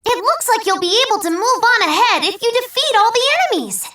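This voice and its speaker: A woman voicing a small, cheerful robot helper who gives chirpy, clearly spoken tutorial hints in a video game. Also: extremely high pitch, sing-song, fairly quick, pushed and bright, echoey room, far from the mic, exaggerated voice. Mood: eager, urging. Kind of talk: scripted game instructions and hints.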